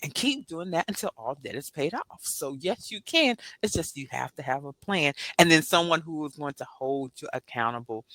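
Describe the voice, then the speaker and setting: Woman, financial planner guest; animated, preachy motivator; forceful bright voice, dry close sound. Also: low-pitched, normal speed, hardly any pauses, dry recording. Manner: animated and preachy, keeps asking "right?"; laughs often, swings into lively voiced asides. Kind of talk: upbeat motivational interview talk.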